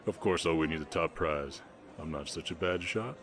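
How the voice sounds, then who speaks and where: A man playing a kind, protective, shy orc ranch hand with a Southern US accent, speaking gently and plainly in an intimate roleplay. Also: extremely low pitch, normal speed, soft background music. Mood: easygoing. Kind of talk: intimate ASMR romance roleplay.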